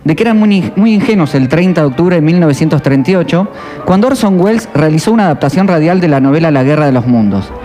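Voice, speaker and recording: Male narrator, measured and reflective, mellow and high-pitched, lively inflection. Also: soft background music.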